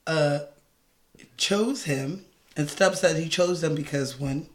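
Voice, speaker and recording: A woman co-hosting a casual podcast chat over drinks, with a deep voice, talking loosely and jokingly and trailing off mid-thought. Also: slow. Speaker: podcast co-host.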